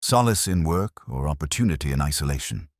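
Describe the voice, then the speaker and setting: A man voicing a cool, incisive detective in an audiobook, speaking formally and deliberately with exacting, probing questions. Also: rumbling low, lively intonation, no room sound, studio quality. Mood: cool, pointed. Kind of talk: audiobook character reading.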